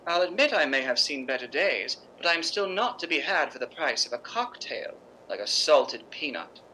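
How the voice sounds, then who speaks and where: Woman acting a sharp, sardonic theatre diva. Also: low-pitched, sing-song, normal speed, a little hiss or hum, heavily acted, rough recording. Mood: bitter, wounded. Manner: theatrical, biting, sarcastic. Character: proud, volatile stage actress diva, wounded and sardonic. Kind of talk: radio drama acting in 1950s style.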